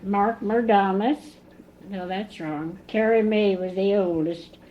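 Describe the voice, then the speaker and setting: A woman in her nineties from Indiana, recalling her family slowly in an oral history interview at home. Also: mid-range voice. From Indiana, USA. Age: 90s. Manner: chatty, recalls slowly with pauses. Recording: dull lo-fi 1980s cassette tape, faint hiss.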